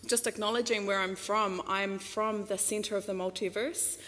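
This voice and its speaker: A woman's voice: mid-range voice, steady tone, moderate pace, a bit bright. A New Zealand woman giving a casual public talk at a microphone stand, chatty, laughing often, full of fillers and restarts. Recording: long hall reverb.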